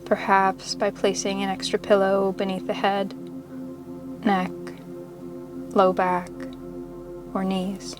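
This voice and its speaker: A woman leading a guided meditation in a soothing, measured, unhurried manner, pausing between short phrases of instruction. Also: medium pitch. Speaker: yoga nidra meditation guide. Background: meditation music.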